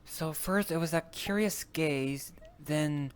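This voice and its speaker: A man's voice: on the high side, slow pace. A man talking hesitantly and pondering as he improvises in character in an online roleplay. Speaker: player in a virtual roleplay. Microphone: VR voice chat microphone. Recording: noisy online voice chat.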